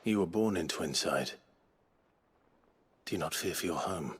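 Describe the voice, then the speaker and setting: A man's voice: low voice, expressive, a little slow, husky, full character voice. A man playing a brooding, weary warrior hero in a fantasy game, terse and understated. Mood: guarded, terse. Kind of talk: cinematic fantasy game acting.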